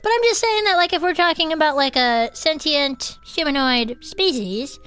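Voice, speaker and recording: Woman as a high-voiced, gentle cryptid podcaster. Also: normal speed, no echo, faint music behind. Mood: mildly defensive. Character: Herb, gentle open-minded non-human cryptid club podcaster. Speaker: co-host of a cryptid discussion show. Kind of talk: improvised comedic audio fiction banter.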